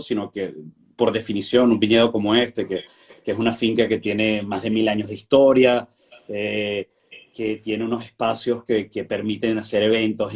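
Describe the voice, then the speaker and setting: A man in his thirties from Venezuela, answering interview questions in a relaxed, thoughtful way with frequent fillers and restarts. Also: lowish voice. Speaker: guest, winery director.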